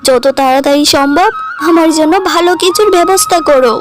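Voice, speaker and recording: A woman's voice: high-pitched, fairly quick, over music, lightly acted. A woman voicing a jealous, scheming young daughter-in-law, complaining dramatically in a cartoon. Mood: resentful. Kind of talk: cartoon dialogue.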